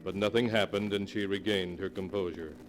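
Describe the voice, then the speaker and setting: A man's voice: low voice, steady tone, a little fast. A man reading scripted narration in the style of a 1940s radio mystery show. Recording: vintage radio with music underneath. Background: music.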